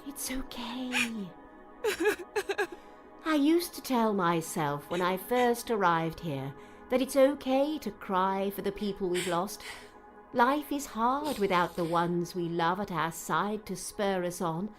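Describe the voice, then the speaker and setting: A woman playing a kindly, motherly shop woman in an audiobook, speaking in a soothing, hushed way over music. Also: medium pitch, animated, slow, pauses often. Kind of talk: audiobook character dialogue. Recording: music under the voice.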